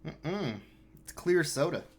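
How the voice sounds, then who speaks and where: A man giving relaxed, chatty live commentary on his speedrun, explaining strategy as he plays. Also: fairly high pitch, slow.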